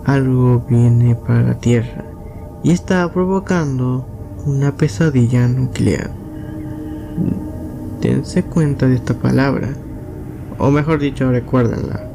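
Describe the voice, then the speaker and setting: A man's voice: mid-range voice, takes it slowly, vocal fry, over music, low-quality recording. A man narrating as a video host in a scripted commentary style. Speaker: YouTube host.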